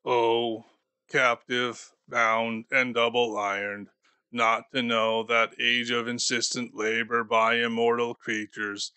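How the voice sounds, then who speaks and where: A man voicing a doomed, grieving male ghost bound in chains, speaking slowly and gravely for an audiobook. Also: medium pitch, level tone, bright, forceful tone, dry recording. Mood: mournful. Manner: slow, solemn and grave. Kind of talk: audiobook character voice.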